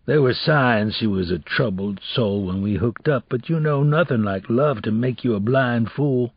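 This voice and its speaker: A man voicing a weary, earnest ex-convict and troubled father, speaking plainly in street slang in an audiobook, with a husky voice. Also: medium pitch, normal speed, no echo, dull audio. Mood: sorrowful, earnest. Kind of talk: audiobook character dialogue.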